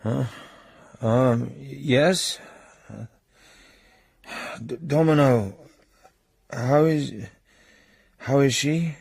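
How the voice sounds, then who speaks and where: An actor playing a wounded, weary secret agent in a radio drama, halting, groggy and stammering. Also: male voice, medium pitch, vocal fry, a little mellow, breathy edge, dry recording, exaggerated voice.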